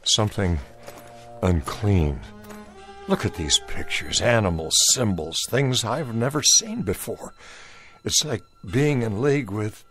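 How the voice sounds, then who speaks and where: Deep voiced confederate soldier in a radio drama, stern, wary and uneasy. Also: male voice, unhurried, fairly bright tone, soft background music. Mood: uneasy, dread. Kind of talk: dramatic radio play acting.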